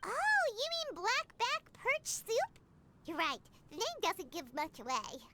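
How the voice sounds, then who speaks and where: A tiny, childlike fairy companion from a video game, chirps in a very high, squeaky voice. She is eager and bubbly, drawing words out slowly, with music playing prominently behind her. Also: sing-song, heavily acted. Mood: curious, eager. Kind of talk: video game character acting.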